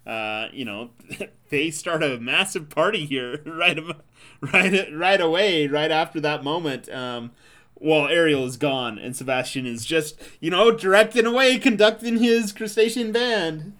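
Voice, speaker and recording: A man's voice: a little high, a little slow, a bit bright. A man co-hosting a podcast, talking in an enthusiastic, rambling way with many fillers. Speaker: podcast co-host. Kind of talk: casual chat.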